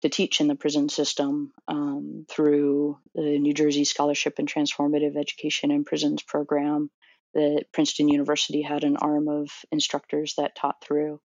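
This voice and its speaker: A woman's voice: deep voice, completely flat delivery, moderate pace, a little mellow, no echo, highs cut off. A woman in her thirties from Florida, a doctoral student answering interview questions thoughtfully, with many fillers and restarts. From Florida, USA.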